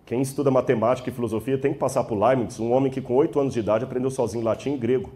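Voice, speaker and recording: A man's voice: medium pitch, fairly even intonation, very fast, mellow. A man with a Brazilian accent giving a debate speech in an emphatic, didactic manner, checking in with his listeners. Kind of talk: public debate speech, rhetorical lecturing.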